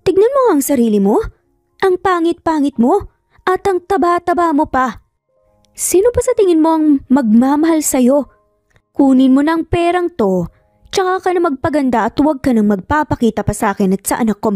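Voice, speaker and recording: A woman acts out a haughty older matriarch in an audio drama, strict and threatening. She speaks in a fairly high voice with a mellow edge, over music. Her manner is sarcastic, commanding and merciless. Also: lively intonation, moderate pace, no room sound, studio quality. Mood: angry, threatening.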